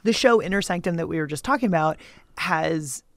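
A woman with a lowish voice and plenty of vocal fry hosts an interview. She talks casually, recorded in a dry studio. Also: a little fast, no room sound. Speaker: interviewer, host. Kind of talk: casual conversational radio interview.

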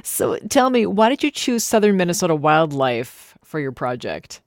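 A woman's voice: low-pitched, sing-song, normal speed, dry recording. American woman hosting radio, close and dry. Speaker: radio host and interviewer. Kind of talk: conversational live radio interview. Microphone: close studio microphone.

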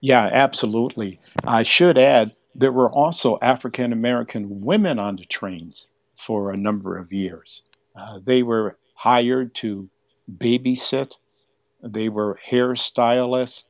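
A man's voice: medium pitch, steady tone, unhurried, no room sound. An older man explaining history as an interview guest, heard over a dull, band-limited line. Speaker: museum exhibit curator, interview guest.

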